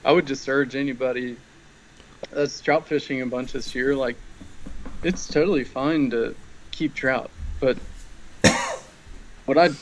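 A man's voice: mid-range voice, measured pace, pauses often, rough recording. An easygoing young man chats on a podcast over a video call. His voice sits mid-range, and he hedges his points casually. A faint hiss runs under the recording. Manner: easygoing, hedging, conversational.

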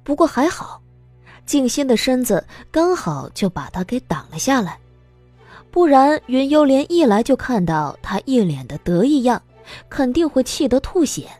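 A woman giving expressive scripted narration as an audiobook narrator. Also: high-pitched, normal speed, no echo, faint music behind.

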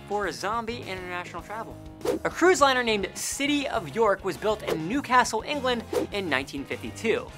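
A young man with an American accent presenting a scripted list narration in an emphatic, animated way. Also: high-pitched. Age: young adult. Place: indoor studio. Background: faint background music.

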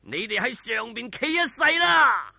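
A man voicing a menacing, gloating martial arts villain, taunting and bellowing with drawn-out words. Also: very high-pitched, very animated, gravelly, pushed and bright, dry recording, clean studio sound, pitched above the performer's own voice, exaggerated voice.

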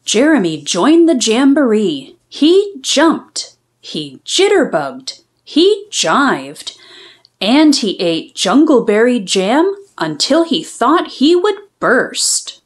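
A woman storyteller reading a picture book aloud to young children in an expressive, deliberate, sing-song way. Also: a little high.

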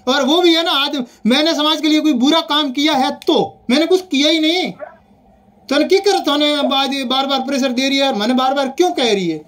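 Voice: sits high, a little fast, fairly bright tone. A speaker with a Rajasthani accent arguing heatedly and insistently on a phone call, often repeating points. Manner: insistent, repetitive.

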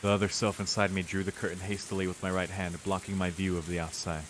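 A man's voice: sits low, level tone, fast. A young man, a let's player reading game narration aloud with casual reactions. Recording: clear rain and hiss behind.

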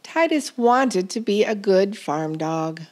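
A woman reading a children's story aloud in a deliberate, expressive storytelling manner. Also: medium pitch. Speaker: storybook reader.

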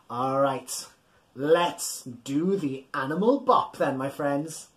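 A man's voice: fairly high pitch, drawn-out words. A man in his thirties hosting an interactive picture-book read-aloud, playful and exaggerated, talking straight to young listeners and encouraging them. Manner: animated, physically acting along. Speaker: children's storytime host. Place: small indoor room at home.

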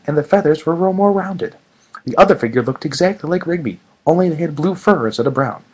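Animated man reading fan fiction, slightly husky. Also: a little high, poor audio. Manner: mock-serious, with sarcastic asides.

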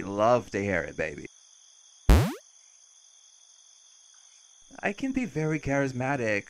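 A man's voice: on the low side, a little hiss or hum. A man playing a cheerful, cocky vampire who teases, flirts and casually threatens in an intimate audio roleplay. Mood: flirty, smug.

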